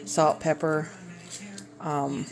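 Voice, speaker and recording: Woman, Minnesota accent, lowish voice, rambling home cooking chat, phone mic in kitchen. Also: little pitch movement, very slow, vocal fry. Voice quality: rambling, hesitant with many fillers. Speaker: homeschooling mom and cooking vlogger. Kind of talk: casual cooking video. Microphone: phone propped up on the counter.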